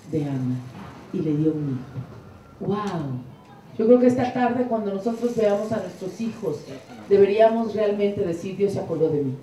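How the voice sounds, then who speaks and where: A middle-aged woman giving an inspirational church talk on a handheld mic, fervent, moving between hushed prayerful passages and soaring emphatic lines. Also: fairly high pitch, slight background noise. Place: room with curtained wall, indoors. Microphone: handheld mic, filmed from a distance. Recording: echoey room, camera far off.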